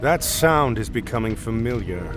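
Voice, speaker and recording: Grave heroic commander with lively pitch voiced by a man. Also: moderate pace, music in the background, close to an everyday voice. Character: stern, weary heroic Atlantean commander. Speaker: video game character. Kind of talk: dramatic game cutscene acting.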